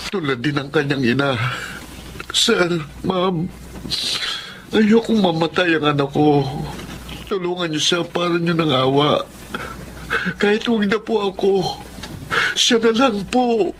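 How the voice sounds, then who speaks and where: A man's voice: high voice, slow, pauses often, background noise. A Filipino man playing a desperate, remorseful poor father in a radio drama, pleading and respectful.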